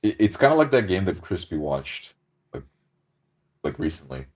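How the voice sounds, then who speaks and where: A man's voice: low-pitched, sing-song, moderate pace. A man chatting casually as a player in a tabletop game group. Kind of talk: casual chat.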